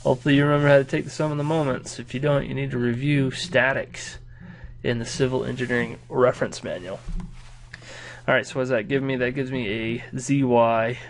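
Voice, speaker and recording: A man's voice: mid-range voice, fairly even intonation, brisk, a little hiss or hum. A man with a nasal, stuffed-up voice from a cold, walking through an engineering problem in a casual tutoring style. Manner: casual, thinking aloud while working problems. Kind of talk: whiteboard lesson walkthrough.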